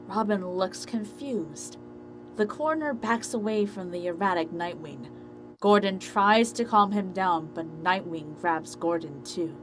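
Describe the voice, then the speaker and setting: A woman's voice: fairly low pitch, measured pace, over music, rough recording. A woman reading scripted narration for an amateur audio drama story.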